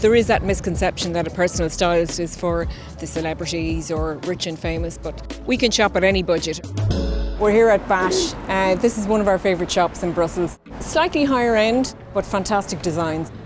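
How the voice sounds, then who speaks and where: A woman's voice: on the low side, moderate pace. A woman with an Irish accent speaking in an interview as a personal stylist. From Ireland. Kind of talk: interview remarks. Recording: clear music under speech.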